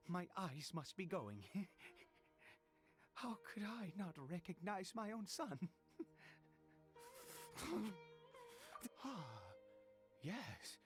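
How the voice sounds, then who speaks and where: An actor playing an anxious, weary middle-aged father in a video game, speaking haltingly with stammers. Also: fairly high pitch, a bit bright. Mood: shaken, pensive. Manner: hesitant, halting, frequent stammers and nervous chuckles. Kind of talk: dramatic video game voice acting.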